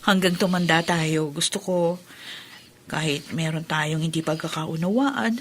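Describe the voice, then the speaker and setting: A woman's voice: low voice, unhurried, slight background noise. An actress playing a caring, thoughtful young wife and mother in a Tagalog radio drama. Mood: affectionate, thoughtful.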